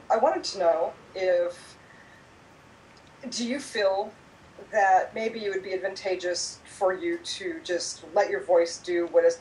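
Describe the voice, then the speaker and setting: A woman's voice: fairly low pitch, a little hiss or hum, poor audio. A tired woman chatting earnestly over a video call, hesitant and often repeating her words. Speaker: guest, host's sister. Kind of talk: casual chat.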